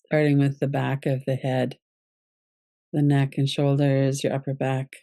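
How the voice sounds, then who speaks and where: A woman with a soft-edged, mellow voice and a noticeable creak guides a relaxation meditation. She gives each instruction calmly and gently, without hurry, as a yoga nidra teacher would. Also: rumbling low, no echo, studio quality. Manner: calm, gentle, unhurried instruction.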